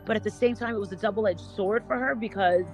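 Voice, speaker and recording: Halting, rambling woman over music. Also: mid-range voice, poor audio. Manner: halting, rambling, repeats words. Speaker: panel guest. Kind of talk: casual gossip chat.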